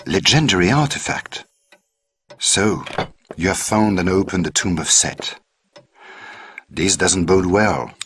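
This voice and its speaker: A man's voice: low voice, fairly bright tone. A man playing a grave, learned antiquities expert in a video game cutscene, solemn and ominous, with slightly noisy audio. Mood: wary, intrigued.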